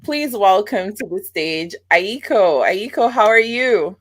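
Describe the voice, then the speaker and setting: A woman with a Barbadian accent hosts an event and interviews a guest with a deliberate, emphatic delivery. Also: mid-range voice, expressive. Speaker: host.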